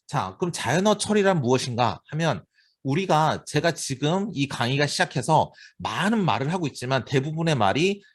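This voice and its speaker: Brief: Korean male professor in his forties, Seoul accent, fast and animated, slightly high, quizzes the class as he goes. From Seoul, South Korea. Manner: lively, talkative, addresses students directly with rhetorical questions. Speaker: university lecturer. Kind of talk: classroom lecture.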